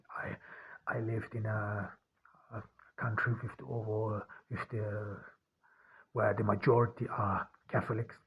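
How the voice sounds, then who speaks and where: A man from Austria, an author speaking in a personal podcast monologue, halting and often repeating and restarting his words. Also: on the low side, creaky, slightly rough, phone-quality sound.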